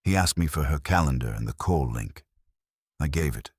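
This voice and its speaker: Guy reading as a guarded woman suspect in a detective audiobook, low husky voice, cold and terse. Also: animated, normal speed, no room sound, clean studio sound, full character voice. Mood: guarded confession. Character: cool, defiant woman suspect, guarded and calculating.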